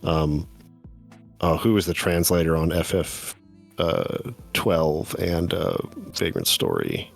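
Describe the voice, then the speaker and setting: Deep-voiced thoughtful man chatting. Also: expressive, slow, gravelly, faint music behind. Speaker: podcast guest. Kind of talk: casual live-stream chat.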